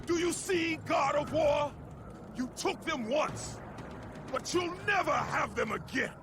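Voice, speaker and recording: Desperate raging warrior dad from a game, gravelly yelling, pitched way up. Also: male voice, slow pace, music in the background, heavily acted. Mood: enraged. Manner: roaring, strained. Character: desperate, enraged warrior father defending his family. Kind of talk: dramatic video game acting.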